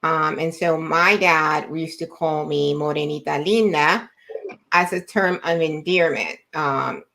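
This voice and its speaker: Female panelist with a low voice; hesitant, conversational, personal storytelling full of pauses. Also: fairly even intonation, pushed and bright. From United States, with time in Puerto Rico and the Dominican Republic. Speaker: teacher on a discussion panel. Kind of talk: sharing personal experience in a panel talk.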